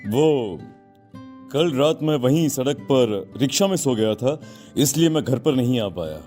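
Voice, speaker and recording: A man playing a poor, worried husband turned gentle ghost in a cartoon moral story, speaking earnestly and emotively. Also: medium pitch, lively intonation, measured pace, faint music behind, lightly acted. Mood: reassuring. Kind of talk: Hindi animated moral story dialogue.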